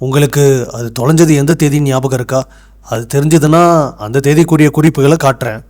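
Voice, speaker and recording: Guy doing humble village clerk in a cartoon moral story, mellow voice, kinda high, polite n earnest. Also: brisk, close to an everyday voice. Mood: helpful, earnest. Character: earnest village man mocked for keeping meticulous records. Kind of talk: acted dialogue in animated moral tale.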